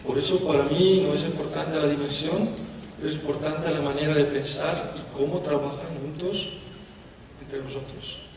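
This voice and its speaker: A man from Italy with an Italian accent, speaking on a conference panel and pausing to search for words. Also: fairly high pitch, fairly even intonation, recorded from a distance, a little hiss or hum. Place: large conference hall. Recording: echoey hall, voice from afar.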